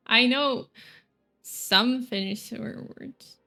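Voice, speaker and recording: Slow, rambling young woman streamer. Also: fairly high pitch, pushed and bright, breathy edge, faint music behind. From Sweden. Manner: rambling, hesitant, self-correcting, giggly. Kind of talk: casual gameplay chat.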